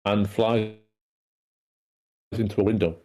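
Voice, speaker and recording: Slow, mellow, low-voiced man narrating. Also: steady tone. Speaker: table read narrator. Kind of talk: reading screenplay action lines aloud.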